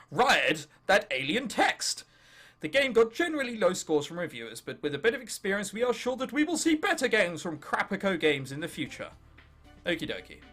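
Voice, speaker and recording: Young male gamer, English accent, animated swooping delivery, jokey and sweary, high voice, music behind. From England. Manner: jokey, sweary, self-interrupting. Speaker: gaming video host. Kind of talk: casual let's play commentary, reading game text aloud.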